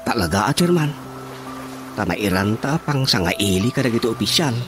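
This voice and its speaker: A man's voice: on the low side, animated, moderate pace, over music, lightly acted. A man with an Ilocano accent playing an eager, boastful village councilman in a radio drama comedy.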